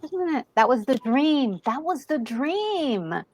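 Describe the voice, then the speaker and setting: A woman giving soft, casual reactions as she plays through a game. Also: sits high, sing-song, takes it slowly. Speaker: gaming streamer. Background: music.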